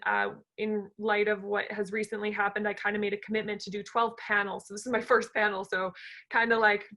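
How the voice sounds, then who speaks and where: Bright-voiced woman hosting, often creaky. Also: medium pitch. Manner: halting, rambling, thinking aloud. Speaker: panel host, entrepreneur. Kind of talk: informal panel moderation.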